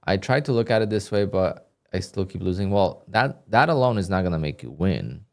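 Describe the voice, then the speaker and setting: A man doing live commentary on his trading session, talking to viewers in a flat, dry way that sounds a bit annoyed even when he is content. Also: deep voice, brisk. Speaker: day trader livestreamer. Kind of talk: live stream commentary, reading donations.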